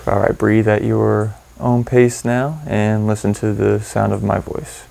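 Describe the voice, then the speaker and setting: A man leading a guided meditation in an informal, candid and gently instructive way. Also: on the low side, steady tone, unhurried, creaky, slight background noise.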